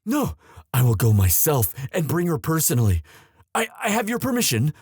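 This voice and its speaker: A man playing a devoted young crown prince in a romantic ASMR audio drama, earnest and tender, with formal, halting, courtly speech. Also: a little high, wildly swooping pitch, darkish tone, husky, no echo, clean studio sound, full character voice. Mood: flustered, eager.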